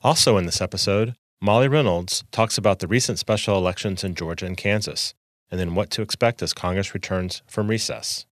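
Deep-voiced male podcast host. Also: a little fast, no room sound, clean studio sound. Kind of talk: scripted intro and interview questions. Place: studio. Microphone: studio microphone.

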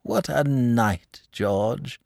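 A man's voice: medium pitch, extremely slow, gravelly, a little mellow, no echo, clean studio sound. A man voicing a jolly, easygoing, sleepy dragon in a storybook, rambling in clipped phrases. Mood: drowsy contentment. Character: jolly, sleepy, easygoing male dragon, lazy but genial.

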